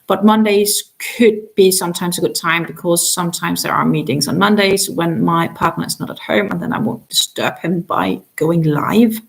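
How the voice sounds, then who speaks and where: A woman's voice: fairly low pitch, normal speed, creaky, hardly any pauses. Chatty woman. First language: Danish. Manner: chatty, many ums, trails off and restarts. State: tired after a long day. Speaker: crochet livestream host. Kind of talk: casual livestream chat.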